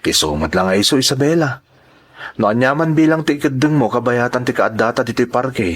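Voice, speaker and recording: A man's voice: mid-range voice, expressive, fairly quick, breathy edge. Male actor as earnest romantic suitor for an audio drama. Mid-range voice, Ilocano Filipino accent. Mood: pleading, flustered.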